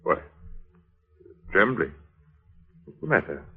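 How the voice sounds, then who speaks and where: Middle-aged gentleman, low and husky, animated, attentive, old dull radio thriller sound. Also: takes it slowly, full character voice. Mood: soothing. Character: attentive middle-aged man soothing his sweetheart. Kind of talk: 1940s radio acting.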